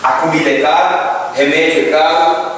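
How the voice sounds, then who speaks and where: Brazilian man, church teacher, earnest and repetitive, slightly high voice, distant mic. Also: steady tone, normal speed, fairly bright tone. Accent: Brazilian Portuguese. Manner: earnest, repeats phrases, addresses listeners as brethren. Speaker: Sunday school teacher and deacon. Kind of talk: church class announcements, prayer requests. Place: church classroom. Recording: echoey hall, distant mic, faint noise.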